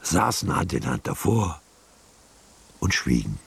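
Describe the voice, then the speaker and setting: A man's voice: very deep voice, very animated, slow pace, gravelly, husky. A man narrating a scripted radio drama in a storytelling manner.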